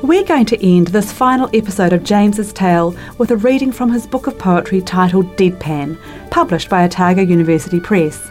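A woman's voice: mid-range voice, fairly quick, hardly any pauses, darkish tone, faint music behind. A woman hosting an author interview, chatty and effusive, trailing off and leaning on tag questions. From New Zealand. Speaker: podcast host and interviewer. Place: home interview.

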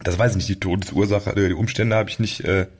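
A man's voice: low-pitched, fairly even intonation, quick pace, breathy edge. A man chatting casually as a podcast host, talkative and rambling, often breaking off his sentences. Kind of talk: casual chat.

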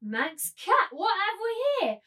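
A woman's voice: very high voice, huge pitch swings, slow, sharp tone, exaggerated voice. A woman playing a cruel, domineering brothel keeper and auctioneer in a dramatised literary reading, commanding, taunting and theatrical. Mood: scornful, ruthless.

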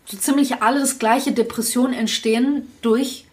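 A young woman with a voice a little higher than most speaks in a casual podcast conversation. She talks candidly and at length, circling back with restarts as she thinks aloud. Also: steady tone, moderate pace. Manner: candid, talks in long reflective runs with restarts. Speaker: podcast co-host.

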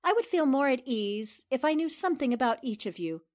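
A woman's voice: high-pitched, expressive, a little fast, darkish tone. A woman playing a self-assured, inquisitive newspaper reporter turned detective, articulate and probing, in 1940s radio drama style.

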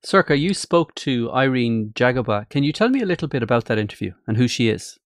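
A man's voice: mid-range voice, sing-song, fairly quick, no room sound, clean studio sound. A man presenting a news programme and putting questions. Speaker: host.

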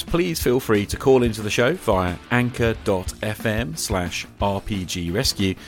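A man hosting a podcast in a conversational, earnest and reflective way, with measured phrasing. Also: on the low side. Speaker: podcast host. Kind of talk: conversational podcast talk on tabletop roleplaying.

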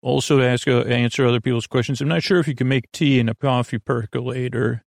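A man hosting a bedtime podcast in a gravelly voice, meandering haltingly through repetitive, digressive thoughts that trail off mid-sentence. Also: medium pitch, fairly even intonation, no room sound, clean studio sound. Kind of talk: rambling, meandering bedtime monologue.

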